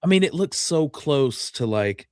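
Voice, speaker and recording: A man's voice: on the high side, expressive, measured pace, a little mellow. A man hosting a show in a casual livestream chat style. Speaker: show host.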